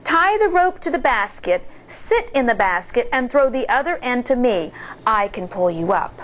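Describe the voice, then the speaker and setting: A woman acts out a clever young girl from a storybook. The voice is pitched high and sing-song, sweetly coaxing with a pretence of innocence. The recording is a little muffled. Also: very animated, normal speed, fairly bright tone, a little hiss or hum. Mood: eager, sly.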